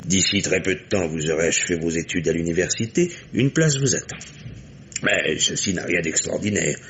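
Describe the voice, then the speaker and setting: Radio drama wealthy father in his fifties: very low voice, animated swings, formal, deliberate, blunt. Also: slight background noise. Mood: blunt, businesslike. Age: 50s. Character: fifty-five-year-old industrialist father, positive, discreet, blunt. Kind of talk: 1950s French radio drama acting.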